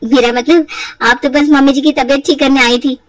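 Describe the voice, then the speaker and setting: Shrewd, high-voiced young daughter-in-law, played by a woman. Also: fairly even intonation, normal speed, dry recording, distant mic, lightly acted, low-quality recording. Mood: sweetly pointed. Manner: polite, pointed, insinuating. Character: shrewd young daughter-in-law, sweetly sly, weary of taunts. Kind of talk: acted Hindi moral story for cartoon.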